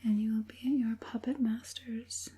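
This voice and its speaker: A woman playing a sinister, coaxing witch puppet master in a whispered ASMR roleplay, speaking softly and deliberately in a breathy whisper. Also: on the high side, steady tone. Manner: slow, soothing, deliberate, menacingly sweet.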